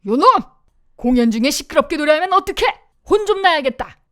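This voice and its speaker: Brief: furious circus boss man, loud and scolding, voiced by a woman. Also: sits high, lively intonation, a little fast. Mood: angry. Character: angry gruff circus owner man.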